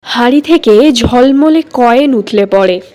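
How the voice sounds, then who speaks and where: Slow, high-pitched woman narrator. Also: lively intonation, mellow, no echo. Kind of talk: scripted cartoon story narration.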